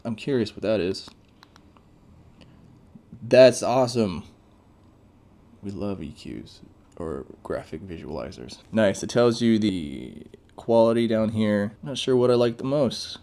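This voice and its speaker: A man's voice: lowish voice, measured pace, creaky, pauses often, warm tone. A man giving casual, off-the-cuff first impressions as a gadget reviewer, thinking aloud as he goes. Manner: off-the-cuff, thinking aloud while reading out menus.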